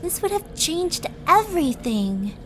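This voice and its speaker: A woman's voice: very high voice, very animated, measured pace, slight background noise, heavily acted. A woman playing a sadistic, flirtatious demon princess in an ASMR roleplay audio drama, teasing and mock-sweet in a theatrical way. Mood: scheming, sardonic. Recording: music under the voice.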